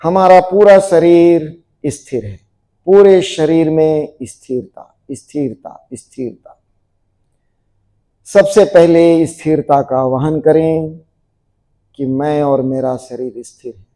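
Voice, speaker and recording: A man leads a guided relaxation for a group. His voice is mellow and sits a little higher than average. He speaks very slowly, giving measured instructions and repeating key phrases. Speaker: yoga nidra teacher.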